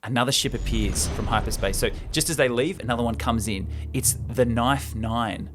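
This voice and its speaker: A man's voice: mid-range voice, moderate pace, background noise. A man co-hosting a show, retelling a film script in an informal, enthusiastic, chatty way. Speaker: podcast co-host.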